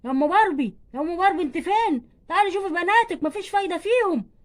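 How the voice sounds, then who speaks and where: Woman doing an angry egyptian dad in a doll story, high pitched, rapid nagging, repeats himself. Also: lively intonation. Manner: nagging, scolding, repetitive. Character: stern, exasperated father of young daughters.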